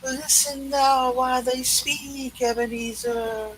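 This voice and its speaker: A woman playing a solemn, reproachful ghost in an amateur play reading, slow and deliberate, with some noise behind her. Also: sits high, fairly even intonation, rough recording. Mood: commanding. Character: solemn, reproachful ghost showing a miser his past.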